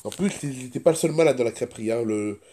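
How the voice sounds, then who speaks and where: A man talks casually to his vlog audience at a middling pitch. He sounds stuffy-nosed from a cold, with a sore throat he keeps clearing, and he rambles along, often repeating himself. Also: fast talker, background noise. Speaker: vlogger. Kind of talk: casual first-person vlog chat.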